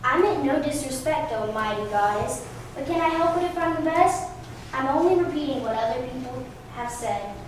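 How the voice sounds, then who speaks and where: Self-satisfied young mortal woman, acted by a woman, lively swooping delivery, very echoey distant stage recording. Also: sits high, moderate pace, a little hiss or hum. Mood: boastful. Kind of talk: readers theatre stage performance. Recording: very echoey room, distant mic.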